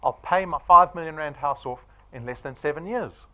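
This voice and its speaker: A man's voice: a little high, moderate pace, bright, forceful tone, low-quality recording. A man of forty with a South African accent, giving a punchy sales presentation to an audience and firing off rhetorical questions. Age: 40s.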